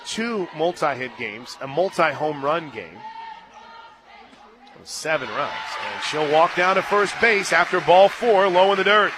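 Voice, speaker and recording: A man doing live radio play-by-play of softball, conversational between pitches and excited on the action. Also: background noise. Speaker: play-by-play announcer. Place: radio booth at an outdoor ballpark. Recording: crowd and stadium music behind. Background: crowd, loudspeaker music.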